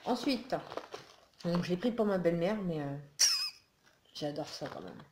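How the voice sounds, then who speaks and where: A woman's voice: fairly low pitch, animated, a little slow. A woman from northern France, chatting casually and rambling with lots of fillers, sounding a little groggy after just waking up early in the morning at home. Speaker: beauty and haul vlogger. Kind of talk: casual haul video chat. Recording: echoey room with faint noise.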